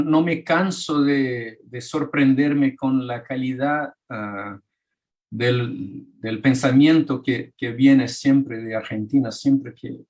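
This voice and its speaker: A man's voice: mid-range voice, darkish tone. A man with a Brazilian Portuguese accent giving an academic talk in a second language, hesitant, with many restarts and fillers, speaking over a video call. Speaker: architect and author presenting his book.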